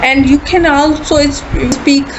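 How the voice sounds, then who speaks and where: High-pitched Pakistani woman teaching, noisy muffled recording. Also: steady tone, measured pace. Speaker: English language instructor. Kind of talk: spoken English lesson demo for beginners. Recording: muffled audio with loud background noise.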